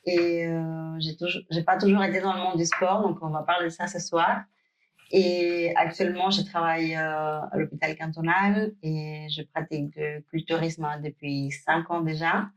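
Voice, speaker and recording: A woman's voice: deep voice, flat delivery, moderate pace, low-quality recording. A woman from Cuba, speaking French as a guest on a podcast, hesitating often with fillers and restarts. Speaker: guest, pro bikini athlete. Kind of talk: podcast interview.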